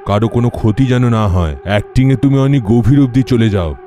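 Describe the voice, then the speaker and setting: A male stage director , voiced by an actor in a cartoon tale speaks at a middling pitch with a dark, mellow tone. He instructs and cautions, with faint music behind him. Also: brisk, lightly acted. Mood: instructing. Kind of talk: animated story voice acting.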